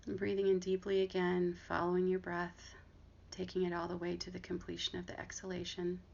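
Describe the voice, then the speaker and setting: A woman guiding a body scan meditation, giving gentle, unhurried instructions with long pauses between phrases. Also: on the low side, completely flat delivery. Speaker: meditation guide and therapist. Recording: dull lo-fi audio with clear background noise.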